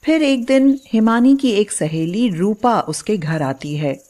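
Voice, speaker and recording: A woman's voice: mid-range voice, lively intonation, takes it slowly, warm tone. A woman narrator reading a scripted dramatic family story.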